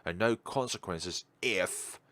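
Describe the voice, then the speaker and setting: A man's voice: low voice, takes it slowly, fairly bright tone. A man voicing an eager, curious young alchemist hero in a fantasy visual novel, talking conversationally. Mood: bargaining. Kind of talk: read-aloud visual novel dialogue. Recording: background music under voice.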